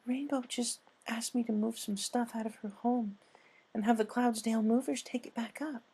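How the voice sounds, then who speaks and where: Man putting on a high, timid little pegasus mare. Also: fairly even intonation, moderate pace, slightly rough, heavily acted. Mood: quiet, troubled. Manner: gentle, hesitant. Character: timid, kind female pegasus pony, soft-spoken.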